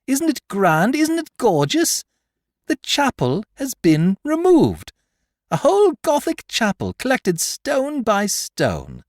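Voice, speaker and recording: A man voicing an excitable young male amateur detective, brash and mocking as he shows off his discovery. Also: medium pitch, no echo, studio quality.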